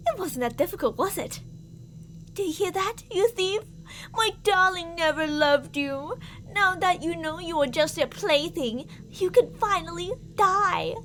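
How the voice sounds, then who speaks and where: A woman's voice: very high-pitched, lively intonation, unhurried, a bit bright, exaggerated voice. A woman playing an obsessively jealous, murderous stalker lover in an ASMR roleplay, theatrical and possessive, swinging between coaxing and menace. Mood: ecstatic triumph. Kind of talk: ASMR girlfriend roleplay acting. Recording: audible background noise.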